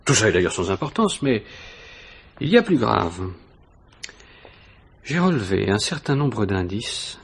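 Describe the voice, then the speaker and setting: An actor playing a probing, ironic police inspector in a 1950s-style French radio mystery, falsely casual and insistent, with hesitant, insinuating questions. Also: male voice, on the low side, slightly rough.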